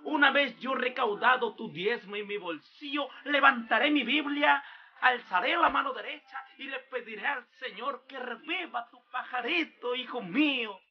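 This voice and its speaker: A performer voicing a swindling male preacher, delivering a grandiose, booming mock sermon on a dull lo-fi recording. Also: moderate pace. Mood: preachy. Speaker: comic character pastor.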